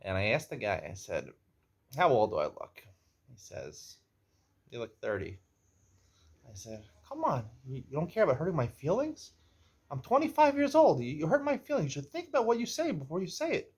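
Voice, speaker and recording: A man's voice: a little high, sing-song, normal speed, a little mellow, slightly rough. A man in his forties hosting a casual show, chatty and teasing as he tells stories and jokes with a guest. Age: 40s. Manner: jokey, teasing, chatty storyteller. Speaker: host. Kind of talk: casual chat and storytelling. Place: Israel.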